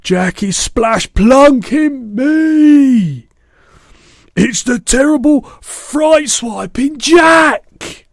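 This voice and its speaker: A man's voice: extremely high pitch, lively intonation, extremely slow, no echo, clean studio sound, pitched above the performer's own voice, full character voice. A man voicing a huge brutish man-eating giant in a storybook, screeching and pleading in terror with broken grammar. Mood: panicked screaming. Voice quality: screechy, bellowing.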